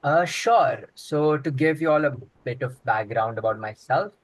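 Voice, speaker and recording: A man's voice: medium pitch, expressive, a little slow, no echo, poor audio. A young man who grew up in Congo, answering interview questions in an explanatory way with frequent fillers and restarts. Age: young adult. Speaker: guest.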